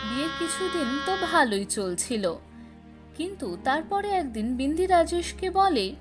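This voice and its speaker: Female cartoon storyteller, high-pitched and expressive, scripted fairy-tale narration. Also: a little slow, soft background music.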